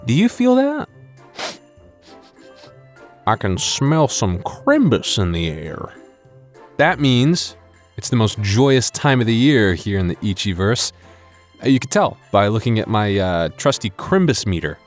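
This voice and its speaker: A man's voice: lowish voice, big pitch swings, unhurried, pauses often. A man hosting his audio channel, chatting casually to listeners with wry, self-deprecating humor. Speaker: ASMR audio creator and channel host. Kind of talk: casual rambling outro chat to listeners. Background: music in the background.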